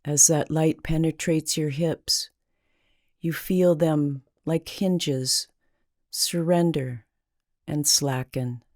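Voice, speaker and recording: Slow, low, mellow woman guiding meditation. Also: no room sound, clean studio sound. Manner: slow, calming, deliberate with long pauses. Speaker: meditation guide. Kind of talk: guided meditation narration.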